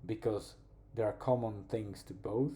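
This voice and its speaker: A man with a Polish accent, a native Polish speaker, talking reflectively in a personal vlog, slow and thoughtful, pausing to find words. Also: on the low side, fairly even intonation, mellow. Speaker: vlogger.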